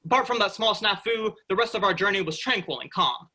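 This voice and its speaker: A man's voice: high-pitched, animated, a little fast, pushed and bright, full character voice. A man in a play reading, acting a hapless spaceship worker who pompously fancies himself a heroic captain. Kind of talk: staged comedy play reading.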